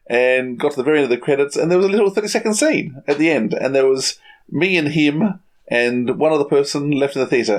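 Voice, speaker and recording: A man with a medium-pitched voice. He chats casually as a podcast co-host, trading banter.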